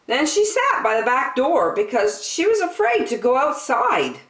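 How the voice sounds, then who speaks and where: A woman reads a children's story aloud at an unhurried pace. Her pitch swings widely as she stresses words with care, and the room sounds echoey. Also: recorded from a distance. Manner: expressive, with deliberate emphasis. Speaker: children's story-time reader. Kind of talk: animated picture-book read-aloud.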